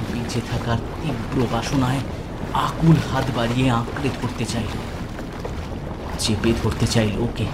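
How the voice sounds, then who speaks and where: A man narrating a scripted horror story with intense, suspenseful, dramatic delivery. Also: mid-range voice. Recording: loud ambient noise behind the voice.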